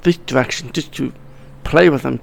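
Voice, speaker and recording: A middle-aged man talking as a podcast guest in casual conversation, blunt, swearing freely, with halting restarts. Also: mid-range voice, creaky. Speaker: podcast guest, wedding videographer.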